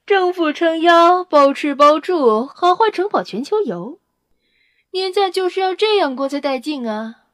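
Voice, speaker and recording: A woman's voice: extremely high pitch, sing-song, takes it slowly, long pauses, fairly bright tone, dry recording, clean studio sound, exaggerated voice. A woman playing a brash, fiery, outspoken female vampire scientist in an audio drama, with an emphatic, informal manner. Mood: gleeful. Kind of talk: Chinese audio drama acting.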